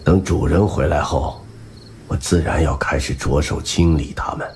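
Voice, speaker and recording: An actor playing a soft-spoken, quietly menacing middle-aged spymaster in a wheelchair in an audio drama. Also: male voice, rumbling low, normal speed, slight background noise. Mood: calm, mocking.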